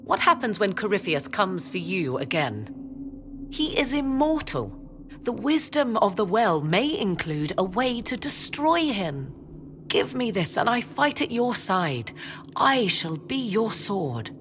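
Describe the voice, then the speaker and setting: A woman voice actor playing a proud, persuasive adviser in a fantasy video game, speaking in a formal, measured, imperious manner. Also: mid-range voice, big pitch swings, fairly bright tone, slight background noise. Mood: urgent, persuasive. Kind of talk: video game dramatic voice acting.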